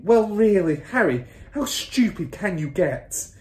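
Lady doing a nagging storybook mum, shrieky and scornful, pitched way up, echoey room. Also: sing-song, a little slow, a little mellow. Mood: exasperated lecturing. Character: shrill, scolding, exasperated middle-class mother and wife. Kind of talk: children's storybook character voice.

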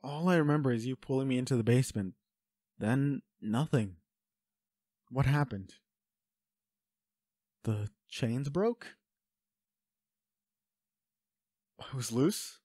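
A protective, self-loathing werewolf boyfriend acted by a man in an intimate audio drama. He speaks in a mid-pitched male voice, urgent and emotional, in a very dry recording with no room around it. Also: a little slow, pauses often, a little mellow, no room sound, natural voice. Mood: shaken, guilty. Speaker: audio roleplay voice actor. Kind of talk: intimate dramatic roleplay acting.

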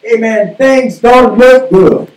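Slow, high-voiced preacher saying amen constantly. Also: male voice. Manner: slow preaching, saying amen after nearly every phrase. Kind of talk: sermon. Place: small church sanctuary, indoors. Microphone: pulpit mic, filmed by a far camera. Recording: echoey room from far off.

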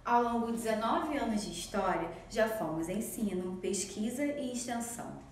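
Woman, slightly high voice, scripted voice-over, even formal narration, echoey room. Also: moderate pace, a little hiss or hum.

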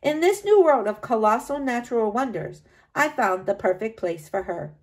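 A woman's voice: a little high, lively intonation, normal speed, natural voice. A woman voicing a proud, driven French male sculptor in a read-aloud storybook, in an earnest first-person reading.

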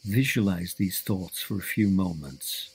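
A man narrating a scripted guided visualization in a measured, deliberate way, giving instructions in short phrases. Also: low voice, darkish tone, breathy edge.

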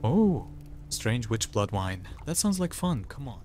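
A man's voice: medium pitch, sing-song, unhurried, darkish tone. A man playing an easygoing, blunt Roman soldier and hunter in a fantasy audio drama. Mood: breezy. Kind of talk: immersive fantasy roleplay audiobook acting.

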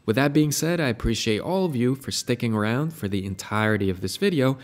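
Young male tutorial host, friendly explainer, average pitch. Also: expressive, fairly quick. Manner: friendly, explanatory, recommends affiliate link. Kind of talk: screen-recorded software tutorial.

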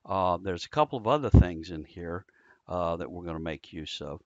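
American male instructor for a how-to screencast: lowish voice, informal step-by-step delivery, dry and slightly muffled sound. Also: expressive, moderate pace, no echo. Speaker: amateur radio instructor. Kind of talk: casual screen-recorded tutorial.

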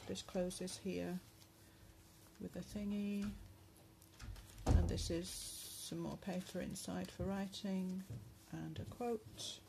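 A lowish, slightly hoarse woman chats gently through a craft journal, pausing often with "um"s. She is recorded on a phone above a table at home, with a faint hum in the room behind her. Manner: soft, chatty, with many pauses and fillers. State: going hoarse, clearing her throat. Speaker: crafter showing a handmade journal. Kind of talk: casual craft flip-through narration. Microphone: phone camera above the table.

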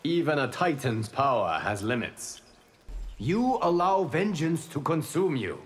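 Wise, expressive guardian god. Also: male voice, fairly high pitch, measured pace. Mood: calm, grave. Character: calm, wise male god guarding time and the realm. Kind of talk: video game fight intro dialogue.

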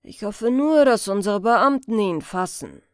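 Audiobook crime story: dry, sardonic chief inspector, deliberate and lively in pitch read by a woman, close dry sound. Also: dry recording, close to an everyday voice. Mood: thoughtful. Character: dry, sly male police chief inspector, quietly venomous.

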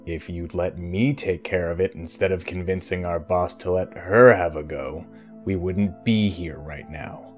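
A man plays an arrogant fae villain in an audio drama. His voice is deep, with a scornful, condescending delivery, and music sits faintly behind it. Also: steady tone, unhurried. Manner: condescending, sardonic. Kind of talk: audio roleplay drama acting.

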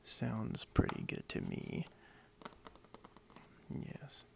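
A man speaking softly in a breathy half-whisper, hushed and friendly, role-playing a toy shop owner for ASMR. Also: noisy background. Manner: hushed, unhurried, friendly, hesitant phrasing. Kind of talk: soft-spoken ASMR role play. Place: indoors at a wooden desk.

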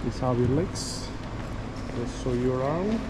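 A middle-aged man speaking casually and haltingly as a travel vlogger, thinking aloud as he goes. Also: medium pitch, animated. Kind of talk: casual vlog commentary. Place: railway station hall and inside a train carriage. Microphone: action camera at arm's length. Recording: loud ambient station and train noise.

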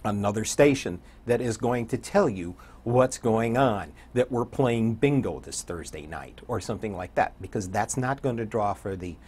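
An older man talking conversationally as an interview guest, a radio DJ with a husky voice. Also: lowish voice, expressive, moderate pace, few pauses, a little mellow. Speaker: guest, radio program director and morning show DJ. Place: indoor TV talk show studio.

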